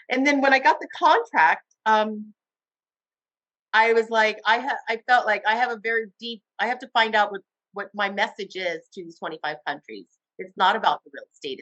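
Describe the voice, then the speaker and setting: A woman television host recounts her career in an interview, speaking with enthusiasm and animated swings in tone. The sound is dry and close to the mic, with no room around it. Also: medium pitch, dry recording, poor audio. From Cuba. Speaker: TV host guest. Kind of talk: interview conversation.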